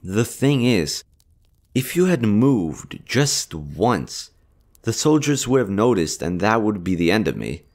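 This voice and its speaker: A man voicing a gentle, smitten army general in a romantic roleplay audio, tender, earnest and a little hesitant. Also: fairly low pitch, very animated, takes it slowly, heavily acted. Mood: earnest, reassuring. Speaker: voice actor.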